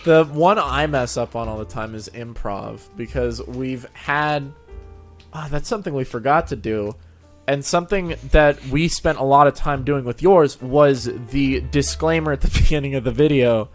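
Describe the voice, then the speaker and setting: A man chatting casually as a channel host, telling stories in an animated way and often laughing as he talks. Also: medium pitch, moderate pace, faint music behind. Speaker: host of a fan fiction narration channel. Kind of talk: casual chat.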